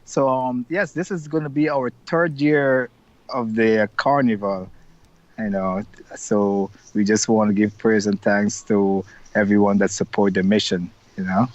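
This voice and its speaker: A man's voice: fairly low pitch, level tone, measured pace, darkish tone, dry recording. A middle-aged man from Guyana with a Guyanese accent, talking as an interview guest in an upbeat, rambling way with many fillers. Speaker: carnival organizer, interview guest. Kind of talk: casual interview over video call. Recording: dull lo-fi video-call audio, dry.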